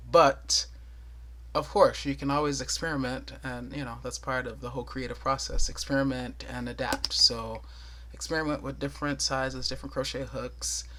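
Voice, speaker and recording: Tutorial host, male, soothing voice, mid pitch, patient and chatty, counts stitches aloud. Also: fairly bright tone. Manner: thorough, chatty, with light laughs and counting aloud. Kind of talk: casual step-by-step tutorial.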